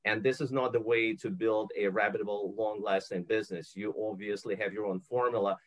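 A man from Ukraine hosts an interview, winding through long questions with plenty of "uh" and "you know". His voice sits fairly low. He laughs easily between thoughts. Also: fairly even intonation, fairly quick, poor audio. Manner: long rambling questions with many fillers. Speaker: host. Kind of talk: interview podcast.

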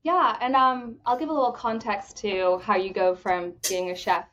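A woman from the Bahamas answering interview questions with enthusiasm, talking quickly and often restarting her phrases. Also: mid-range voice, steady tone, fairly bright tone, slight background noise. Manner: enthusiastic, fast talker with repeated restarts. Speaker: credit union executive, podcast guest. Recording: dull lo-fi audio.

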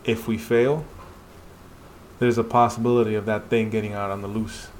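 A man's voice: lowish voice, level tone, normal speed, a little hiss or hum, close to an everyday voice. A man voicing anxious, wary dungeon hunters as he reads comic dialogue aloud dramatically. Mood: earnest, explanatory.

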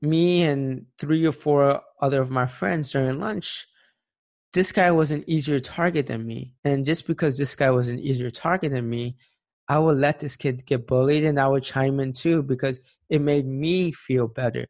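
An earnest man in his late twenties talks through his own experiences in a plain, reflective way. His voice sits at an ordinary, mid-range pitch for a man, unhurried and sincere as he thinks aloud. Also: a little mellow. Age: late 20s. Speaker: speaker sharing personal experience. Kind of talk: personal monologue.

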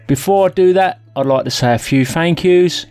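A man's voice: fairly high pitch, normal speed, a little mellow, no room sound, soft background music. A man hosting a podcast, talking casually and informally in a self-deprecating way. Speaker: podcast host. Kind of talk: casual solo podcast talk.

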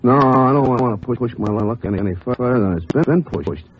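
A man's voice: mid-range voice, brisk, lightly acted. A man playing a nervous, talkative, streetwise taxi driver who was a crime victim, in an old radio drama. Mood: agitated. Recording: muffled, noisy old radio recording.

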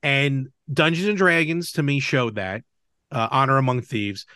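A man's voice: mid-range voice, animated, moderate pace, bright, forceful tone, clear, resonant tone, no echo, studio quality. A man hosting a podcast, chatting casually about movies and games. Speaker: podcast host.

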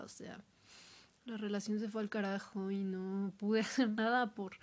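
Slow, soft-toned woman musing aloud. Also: mid-range voice. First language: Spanish. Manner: hesitant, reflective, many fillers and pauses. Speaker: podcaster. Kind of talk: personal monologue.

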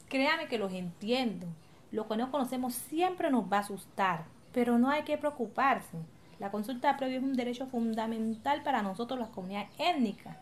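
A Colombian community leader played by a woman speaks in a fairly high voice. She is patient and encouraging, explaining in colloquial radio-drama style. Noticeable ambient noise sits behind her. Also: close to an everyday voice. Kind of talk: educational radio drama acting.

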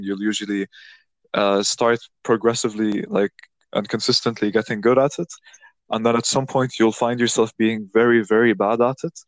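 Host, male, low voice, dry close sound, loose reflective delivery with frequent restarts. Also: dry recording. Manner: rambling, many restarts, reflective. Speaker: podcast host. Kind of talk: casual conversation.

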